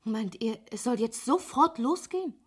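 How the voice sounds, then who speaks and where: A woman's voice: fairly high pitch, animated, moderate pace, slightly rough. An actress playing a hesitant young woman painter in a radio drama, questioning and doubtful as she bargains.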